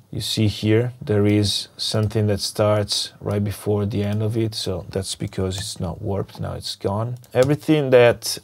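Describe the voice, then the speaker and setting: Man with a cold demoing music software. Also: lowish voice, a little hiss or hum. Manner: relaxed, thinking aloud as he clicks through. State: has a cold, losing his voice. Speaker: music production tutor. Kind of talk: screen-recorded software tutorial. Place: small dim home studio, indoors. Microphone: lapel mic. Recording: music clearly under the voice. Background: music playing from the session.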